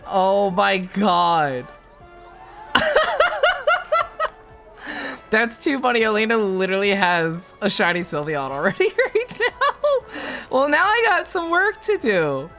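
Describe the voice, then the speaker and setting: A man streaming a game live, chatting casually, excitable and laughing often, loud when he gets excited. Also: high-pitched, takes it slowly, long pauses, faint music behind. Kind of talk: casual livestream chat while gaming.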